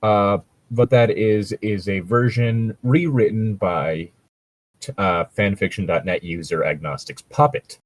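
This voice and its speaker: Man, lowish husky voice, casual podcast banter, wry delivery, close dry sound. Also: a little slow, no echo. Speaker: podcast co-host. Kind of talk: casual banter and mock fanfiction reading.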